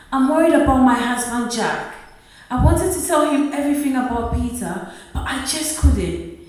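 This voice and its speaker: A woman's voice: high voice, darkish tone. A woman playing a devout, long-suffering wife and mother in a radio play, speaking earnestly and with emotion. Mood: fervent praying. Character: devout, long-suffering working-class wife and mother, pleading yet firm. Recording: very echoey room, distant microphone.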